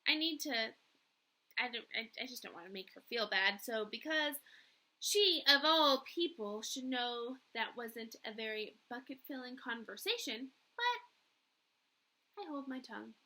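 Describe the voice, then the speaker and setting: A woman teacher reading a storybook aloud with expressive narration. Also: a little high, measured pace.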